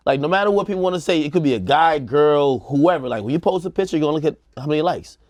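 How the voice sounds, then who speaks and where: A man's voice: on the high side, lively intonation, fast, a little mellow, no room sound, clean studio sound. A man co-hosting a casual panel talk, giving emphatic opinions with plenty of slang and swearing. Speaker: show co-host giving opinions.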